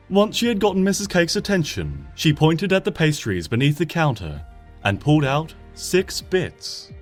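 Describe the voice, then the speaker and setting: A man reading a fan story aloud as an expressive narrator, often dropping to a hush at sentence ends. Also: mid-range voice. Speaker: fanfiction reader. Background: soft background music.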